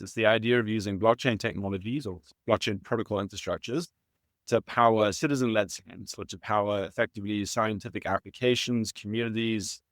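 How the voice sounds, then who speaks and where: A man in his thirties from South Africa with a South African accent, explaining his work at a measured pace as an interview guest, with some fillers and restarts. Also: fairly low pitch, no room sound, studio quality. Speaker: guest, startup founder. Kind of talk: conversational interview answers.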